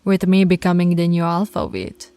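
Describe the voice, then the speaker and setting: A woman voicing a dominant female alpha werewolf in an intimate roleplay, commanding yet affectionate, with a breathy, husky voice and slow, deliberate delivery. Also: lowish voice, level tone, warm tone, no room sound, lightly acted. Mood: stern, commanding. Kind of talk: intimate ASMR roleplay voice acting.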